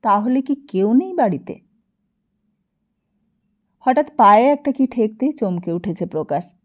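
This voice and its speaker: A woman narrating a novel as an audiobook in an expressive, dramatic storytelling style. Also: on the high side, a little slow, lo-fi sound.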